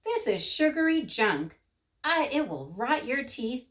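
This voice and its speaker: A woman's voice: high voice, big pitch swings, a little slow, a bit bright, heavily acted. A woman voicing an exasperated, strict but loving father in a children's story, with emphatic, scolding delivery.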